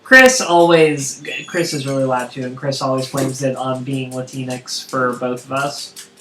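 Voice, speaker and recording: A woman with a big voice chatting casually and excitably as she hosts a video game stream. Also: very deep voice, unhurried. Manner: loud, excitable. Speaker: streamer and host of a co-op gaming session. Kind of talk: casual chat while playing a video game. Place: indoor room, live game stream. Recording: echoey room with background noise.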